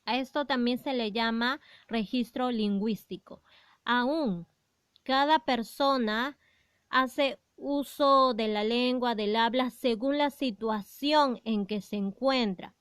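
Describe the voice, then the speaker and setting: A woman teaching a grammar lesson in a patient, explanatory way. Her voice is fairly high and clear, recorded close and dry with no room sound. She often pauses on fillers and asks the class questions. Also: fairly bright tone, no echo.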